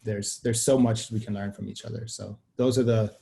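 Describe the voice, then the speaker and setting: Mellow-voiced man, flat steady delivery. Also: on the low side, normal speed, vocal fry. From Sweden. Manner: thoughtful, expansive, trails off into restarts. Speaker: guest. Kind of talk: conversational interview on a video call. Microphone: video call.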